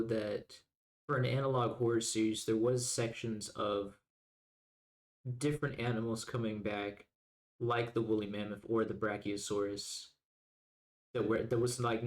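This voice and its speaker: Male podcast co-host, lowish voice, laid-back and reflective conversational delivery. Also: fairly even intonation, a little slow. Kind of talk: casual podcast discussion.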